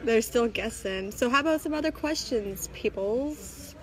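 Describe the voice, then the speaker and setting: Slow, laid-back young woman. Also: fairly high pitch, animated, low-quality recording. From Toronto, Canada. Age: young adult. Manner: laid-back, laughs often, teasing. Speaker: livestream co-host, wrestler. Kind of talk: casual livestream chat. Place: small indoor room. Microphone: phone camera held close.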